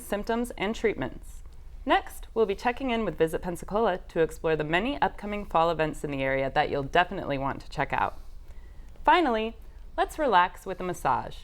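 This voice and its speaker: A woman hosting a community talk show, reading scripted lines in a bright announcer-like way. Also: lowish voice. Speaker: host.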